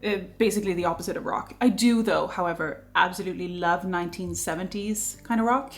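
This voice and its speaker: A woman's voice: lowish voice, fast. Chatty Swedish woman hosting a Q&A. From Sweden. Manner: lively, chatty, laughs often. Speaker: vlogger host. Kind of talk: casual Q&A chat.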